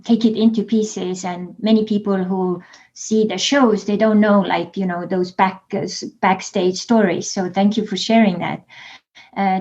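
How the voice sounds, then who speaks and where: A woman with an Estonian accent hosts a podcast in a friendly, halting way, with many fillers. Her voice sits at a middle pitch. The audio sounds a little dull and lo-fi. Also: darkish tone. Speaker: podcast host. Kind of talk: casual interview.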